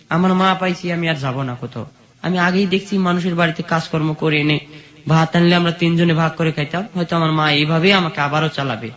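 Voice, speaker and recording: Bangladeshi guy telling his life story, pretty high voice, muffled lo-fi recording. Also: fairly bright tone. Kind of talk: personal life-story narration. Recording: dull lo-fi sound.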